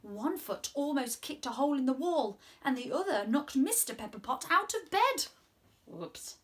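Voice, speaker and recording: A British woman in her twenties hosting children's story time, speaking in an animated, sing-song way with exaggerated expression. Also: high-pitched, moderate pace. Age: 20s. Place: small room at home, indoors.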